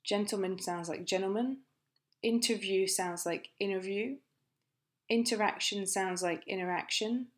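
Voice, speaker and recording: A woman with a British accent teaching English pronunciation in a clear, careful, scripted lesson. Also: medium pitch, a little slow. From Britain.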